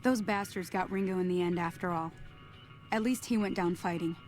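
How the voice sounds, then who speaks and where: A woman's voice: fairly low pitch, moderate pace, natural voice. An actress voicing a plainspoken frontier townswoman in a game, blunt and matter-of-fact, with faint music underneath. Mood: somber. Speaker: game character.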